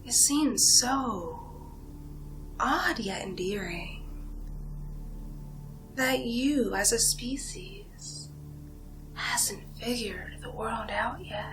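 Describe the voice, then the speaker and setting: A woman playing a condescending, seductive vampire in an ASMR hypnosis role play, whispering breathily with slow, hypnotic pauses. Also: on the high side, lively intonation, music in the background, poor audio. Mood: smug, condescending. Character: condescending seductive female vampire feeding on human prey.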